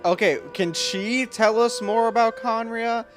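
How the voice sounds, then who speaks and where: A woman's voice: on the high side, measured pace. A woman giving casual, chatty and playful commentary as she streams a video game. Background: faint game music.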